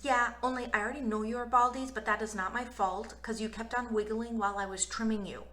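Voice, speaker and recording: A woman's voice: on the high side, fairly even intonation, brisk, pushed and bright, reverberant. A woman voicing a brash, dramatic little girl in a storybook, emphatic, childlike and bossy. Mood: defensive.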